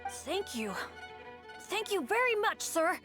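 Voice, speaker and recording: Excitable boy adventurer, very animated. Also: very high voice, takes it slowly, faint music behind, exaggerated voice. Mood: flustered, grateful. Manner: excitable, boasting, eager. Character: earnest boy adventurer, upbeat, chronically unlucky. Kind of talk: English video game dub, animated.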